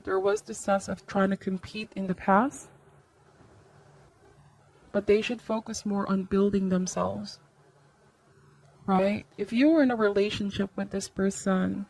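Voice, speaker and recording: Female tarot host, mid-pitched voice, conversational and reflective, offering advice straight to the viewer. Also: a little mellow. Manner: reflective, advisory, seeks agreement with tags like okay and right.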